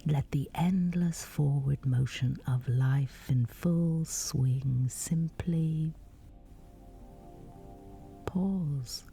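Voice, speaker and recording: A woman guiding a sleep meditation in a breathy, whispery voice, soothing and measured with long pauses. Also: low voice, warm tone, faint music behind. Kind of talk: guided sleep meditation, scripted.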